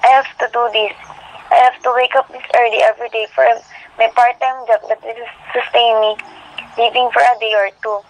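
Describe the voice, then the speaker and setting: A woman's voice: a little high, level tone, fairly quick, close to an everyday voice. A woman playing a weary young working girl who narrates her life in a scripted first-person style. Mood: weary, resigned. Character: weary, orphaned eighteen-year-old working girl narrating. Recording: dull lo-fi recording.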